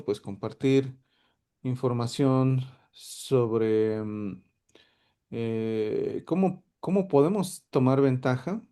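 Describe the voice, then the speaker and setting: A man's voice: fairly low pitch, dark tone. A man hosting an online tech workshop, hesitant, with many fillers and restarts. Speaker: solutions architect, workshop host. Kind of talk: webinar presentation.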